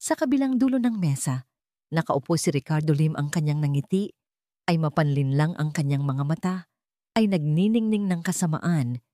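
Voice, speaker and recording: Low-voiced Filipino woman narrating, dry sound. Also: lively intonation, moderate pace, dark tone, dry recording. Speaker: storyteller. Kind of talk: scripted dramatic audiobook narration.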